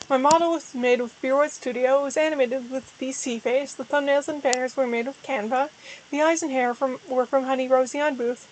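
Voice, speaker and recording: A young woman talks in a high voice gone hoarse and tired. She rambles through a casual livestream chat, restarting her sentences and running herself down a little. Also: slight background noise, poor audio. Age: young adult. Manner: rambling, self-deprecating, frequent restarts. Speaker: VTuber streamer.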